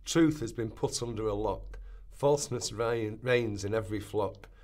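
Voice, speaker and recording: A man's voice: on the low side, normal speed, darkish tone, close to an everyday voice. A man playing a fervent medieval rebel preacher, declaiming sermons and verse in a radio play rehearsal. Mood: grave, fervent.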